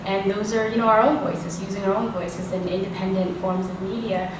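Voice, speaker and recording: A woman's voice: mid-range voice, flat delivery, a little fast. Woman, film director, panel talk, hesitant, far off in a reverberant hall, noisy. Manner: hesitant, many fillers. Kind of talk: panel discussion answer. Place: large assembly hall. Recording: echoey hall from far off, background noise.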